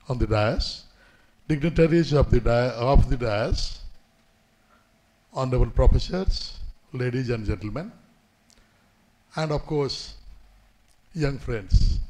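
A man gives a formal commencement address at a podium in a seminar hall, speaking in measured phrases with frequent pauses. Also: mid-range voice, darkish tone. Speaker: guest speaker. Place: indoor university seminar hall. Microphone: podium microphone.

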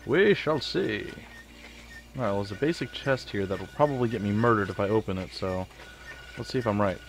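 A man's voice: lowish voice, brisk, slight background noise, poor audio. A man with a stuffy, sniffly nose hosting a casual game let's play, rambling with joking asides. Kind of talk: casual gameplay commentary.

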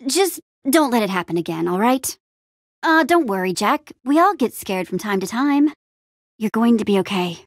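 Young video game heroine, plucky, bossy, encouraging, casual and expressive, very animated pitch, close dry studio sound. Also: female voice, dry recording. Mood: reassuring. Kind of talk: animated adventure game dialogue.

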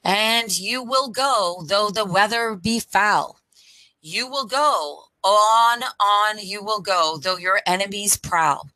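A middle-aged woman reading a picture book aloud for children at storytime, phrase by deliberate phrase, into a headset microphone. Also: mid-range voice, pushed and bright, no echo, low-quality recording.

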